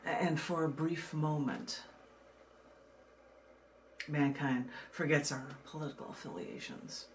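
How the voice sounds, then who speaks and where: A woman poet from the United States reading her own work and talking casually about it, in a measured way with dramatic pauses. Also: low-pitched, fairly even intonation, a little hiss or hum. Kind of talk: poetry reading with casual asides.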